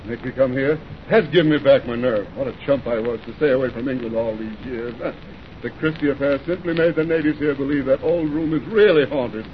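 A man's voice: brisk, close to an everyday voice. An actor playing a nervous, guilty bridegroom who bluffs through his fright, in an old-time radio suspense drama. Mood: jovial, relieved. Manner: bluffing bravado that gives way to fright. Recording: muffled, noisy vintage radio recording with rumble.

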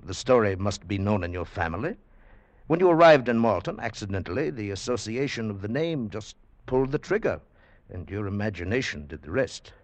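A low-voiced old radio play doctor, very expressive, sure of himself, deliberate, dismissive. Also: male voice, no room sound. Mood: firm, dismissive. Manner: authoritative, deliberate, explanatory. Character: assured, rational male doctor, calmly dismissive. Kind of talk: 1940s radio drama acting.

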